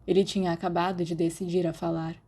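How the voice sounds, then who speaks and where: Mellow Brazilian woman narrating fiction. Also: fairly low pitch, little pitch movement, fast talker, breathy edge. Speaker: podfic narrator.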